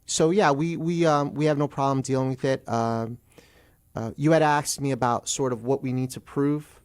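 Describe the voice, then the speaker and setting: A man's voice: mid-range voice, fairly even intonation, moderate pace. Male, American, mid-range voice. Radio guest attorney, explanatory, hesitant, corrects himself as he goes. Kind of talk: radio interview, explaining in plain terms.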